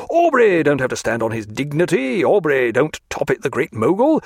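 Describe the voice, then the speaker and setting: A man voicing a bluff, hearty old admiral who blusters loudly in old-fashioned naval talk. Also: on the high side, slightly rough, no echo, clean studio sound, pitched above the performer's own voice, full character voice.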